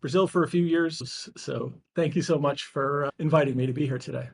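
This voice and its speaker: A man's voice: fairly high pitch, fairly quick, creaky, slightly rough. Friendly man from New England. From New England, United States. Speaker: panel speaker.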